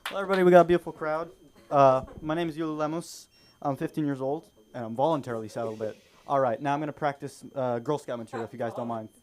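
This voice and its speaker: A man doing observational stand-up in a halting way, repeating phrases and checking in with little tag words. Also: mid-range voice, fairly even intonation. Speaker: stand-up comedian. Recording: noisy live open mic room.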